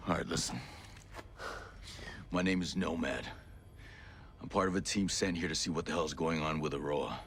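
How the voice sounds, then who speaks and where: An actor playing a gruff, determined special forces soldier in a video game cutscene, terse and commanding. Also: male voice, extremely low pitch, moderate pace, long pauses, a bit bright, slight background noise. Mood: firm, guarded.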